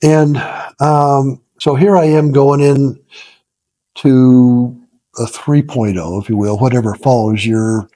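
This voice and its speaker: A man in his seventies telling his story as an interview guest, casual and reflective, with a halting, self-deprecating way of talking and frequent chuckles. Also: mid-range voice, fairly even intonation, mellow, no echo. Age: 70s. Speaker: interview guest, retired business founder.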